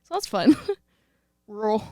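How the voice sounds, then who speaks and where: A woman's voice: on the high side, sing-song, takes it slowly, a little mellow, no echo, clean studio sound. A young woman from rural Texas with a light Texan accent, co-hosting a podcast in a joking, laughing way. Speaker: podcast co-host. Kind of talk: casual chat.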